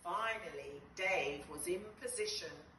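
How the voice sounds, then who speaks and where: A middle-aged woman reading a picture book to young children in an expressive, deliberate way with dramatic pauses. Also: on the low side. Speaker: nursery school storyteller. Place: small nursery classroom, indoors. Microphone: camera a few metres away. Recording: roomy, distant, noisy phone-style video.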